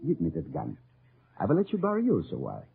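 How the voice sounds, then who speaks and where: An actor playing a sly, courteous French resistance leader with a French accent and formal, wry phrasing, in old radio drama style. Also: male voice, fairly low pitch, huge pitch swings, fast talker, creaky, husky, full character voice. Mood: wry, urgent. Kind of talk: 1940s-50s radio drama acting. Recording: muffled noisy old radio recording.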